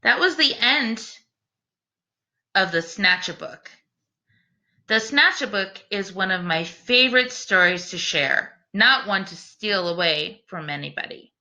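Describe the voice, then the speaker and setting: A woman teacher doing an expressive children's read-aloud, speaking in an encouraging way aimed at young listeners. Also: mid-range voice, unhurried, dull audio. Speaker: teacher reading a picture book aloud.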